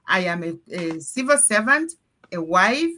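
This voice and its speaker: A woman from Cameroon hosting a live panel stream, chatting in an upbeat, energetic way. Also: lowish voice, measured pace. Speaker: host of a live panel show. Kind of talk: casual live-stream chat.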